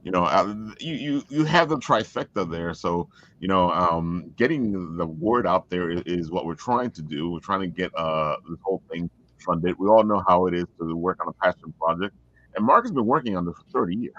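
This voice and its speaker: Man, deep voice, casual host, halting supportive delivery, dull lo-fi recording. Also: expressive, a bit bright. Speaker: podcast host. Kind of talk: casual chat.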